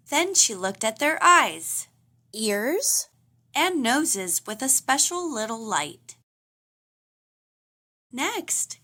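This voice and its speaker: Animated, bright-voiced woman reading a storybook. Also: on the high side. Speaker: storybook reader. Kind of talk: expressive children's read-aloud narration.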